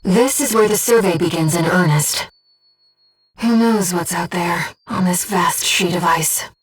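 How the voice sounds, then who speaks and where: A woman voicing a gentle, earnest disembodied guide to a mercenary pilot in a video game, with a soft, measured, composed delivery. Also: on the low side, husky, far from the mic, faint music behind, rough recording. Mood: solemn, gentle. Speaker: mission support operator. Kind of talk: scripted video game voice acting.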